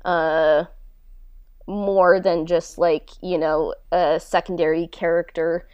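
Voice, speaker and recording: A woman speaks in a casual, halting podcast style, with a lot of "like" as she goes. Her voice sits on the low side and is very clear and smooth, recorded dry and close. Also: flat delivery, darkish tone, dry recording, dull audio. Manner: halting, conversational, frequent "like". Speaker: podcast host and creator being interviewed.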